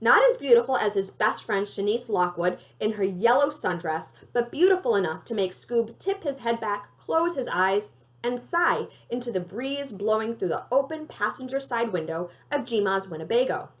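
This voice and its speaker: Animated, higher-voiced woman reading a story. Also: moderate pace. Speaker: library read-aloud reader. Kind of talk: expressive audiobook-style storytelling of a children's novel.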